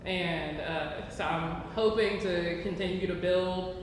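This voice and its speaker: A man's voice: high-pitched, slow. A man in his twenties chatting casually on a panel with youthful slang, recorded in an echoey hall. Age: 20s. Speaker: actor and ensemble member. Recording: echoey hall, distant mic, noisy.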